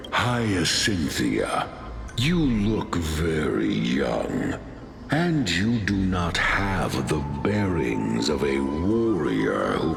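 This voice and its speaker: An actor playing a grave, ancient male ancestral spirit and judge in a video game, deep and gravelly, speaking formally with great weight. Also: exaggerated voice. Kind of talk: scripted video game voice acting. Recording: game audio with background music. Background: music.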